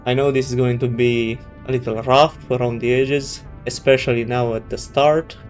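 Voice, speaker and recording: A man's voice: mid-range voice, level tone, normal speed, faint music behind. A man from Romania with a Romanian accent, a native Romanian speaker doing casual, hesitant let's play commentary. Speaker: gaming channel host.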